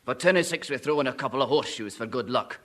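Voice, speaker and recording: Curt Scottish village blacksmith for fifties radio comedy: rapid, sharp-toned, businesslike. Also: male voice, mid-range voice, animated. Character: brusque, busy Scottish village blacksmith, a man. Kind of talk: 1950s British radio comedy acting.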